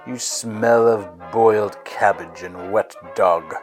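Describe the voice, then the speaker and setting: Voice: low voice, takes it slowly, darkish tone. A pompous lizard man who holds humans in contempt. The speech is formal, indignant and scornful, in audio-drama style with faint music underneath. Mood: haughty, scornful.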